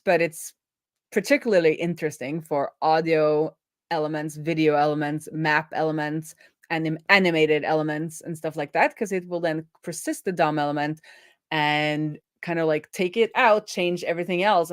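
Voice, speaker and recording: A woman's voice: deep voice, no echo, clean studio sound. A woman explaining programming informally as a host, thinking aloud as she goes. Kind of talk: informal live coding explainer.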